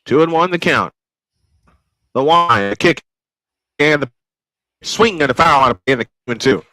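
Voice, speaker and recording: A man's voice: a little high, animated, measured pace, bright, forceful tone, dry recording. Man, old-school play-by-play caller, folksy, dry close studio mic. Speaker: play-by-play announcer. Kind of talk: live baseball play-by-play.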